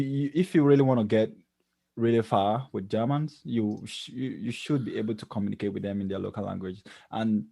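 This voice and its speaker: A man's voice: lowish voice, creaky, mellow, dry recording. A man with a Nigerian accent answering interview questions as a podcast guest, explaining things conversationally with many "you knows". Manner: explanatory, frequent "you know".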